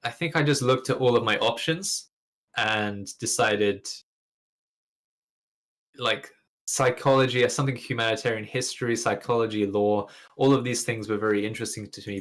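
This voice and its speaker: A young man with an English accent talks as an interview guest, from Ukraine with Russian as his first language. His voice sits a little low, and he rambles along casually, restarting his sentences. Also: a bit bright.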